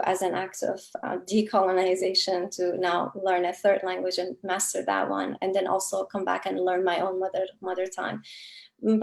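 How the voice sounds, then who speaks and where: A woman's voice: medium pitch, creaky. Kurdish-born woman author, thoughtful, lots of ums while she explains. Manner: reflective, earnest, with frequent fillers. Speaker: author guest. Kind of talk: thoughtful interview answers.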